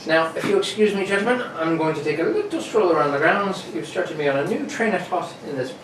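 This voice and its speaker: A woman's voice: on the low side, lively intonation, fast, close to an everyday voice. A woman playing a plain-spoken, deferential police sergeant, colloquial and matter-of-fact, in an old radio mystery. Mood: gossipy, suspicious. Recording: roomy, distant, faintly noisy recording.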